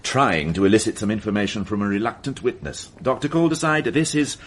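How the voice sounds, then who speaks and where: A man's voice: on the low side, expressive, a little fast, a bit bright. An actor playing a dry, ironic male police inspector in a radio drama, speaking in a sardonic way.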